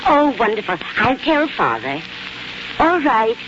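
A woman's voice: a little high, sing-song, a little slow, noisy background. An actress playing a fretful, doting middle-aged small-town mother in 1940s radio drama, eager and folksy. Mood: eager, excited. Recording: dull 1940s radio, music behind.

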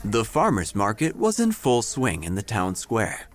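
A man narrating a scripted audio drama in a measured, theatrical, dryly whimsical storytelling manner. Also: fairly low pitch, huge pitch swings.

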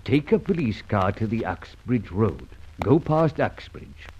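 Masked gentleman rogue for vintage radio serial: urbane, mocking, lively intonation, dull old recording. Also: lowish voice, unhurried, slight background noise. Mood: teasing, urbane. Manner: polished, drily ironic, courteous menace. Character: suave gentleman adventurer, masked vigilante, mocking and unruffled.

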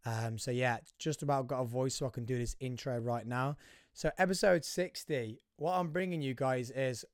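Hoarse, husky podcast host. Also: male voice, mid-range voice, normal speed, no echo, studio quality. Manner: chatty, rambling, addresses listeners as guys. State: hoarse, voice fading. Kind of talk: casual solo podcast intro.

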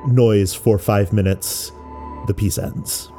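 A man who works as a musician explains experimental music in a measured, thoughtful way with pauses. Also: lowish voice, steady tone. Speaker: musician and composer. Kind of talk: explanatory talk about making experimental music.

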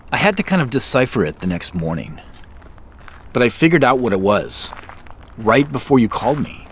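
An actor playing an impulsive man chasing a mystery, speaking slowly and laughing often in an audio drama. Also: medium pitch, sing-song, a bit bright, slight background noise. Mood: amused. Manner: slow, amused, laughs often.

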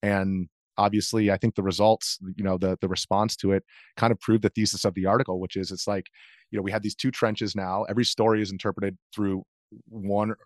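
An American man speaks as an interview guest in a fairly low voice. He explains himself earnestly and conversationally, often restarting his phrases. The recording is dry. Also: level tone, brisk, no room sound.

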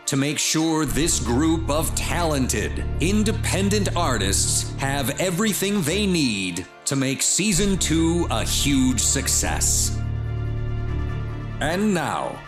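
A man hosting a podcast, reading a scripted promotional introduction with deliberate, emphatic phrasing. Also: a little high, very animated. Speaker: podcast host introducing a show. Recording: roomy sound with music underneath.

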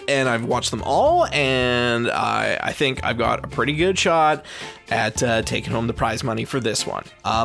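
A man's voice: mid-range voice, gravelly, a bit bright, full character voice. An actor playing an eager, amiable male slacker geek in an audio drama, rambling casually and hesitantly as a contestant.

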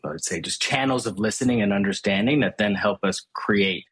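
A middle-aged man from Hong Kong speaks as a guest in a podcast interview. His low voice has a husky edge, and he explains his thinking patiently, often restarting a phrase. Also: brisk, a bit bright. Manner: thoughtful, explanatory, with frequent restarts. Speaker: guest, brand strategist.

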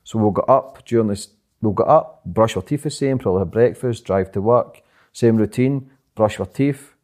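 A young man talking fast and rambling as an interview guest, swearing often and repeating "do you know what I mean". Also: on the low side, a little mellow. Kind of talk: casual interview chat.

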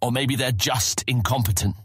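An actor playing an earnest, guarded young knight on a mission in a dramatized fantasy audiobook. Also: male voice, mid-range voice, moderate pace, breathy edge, dry recording, studio quality.

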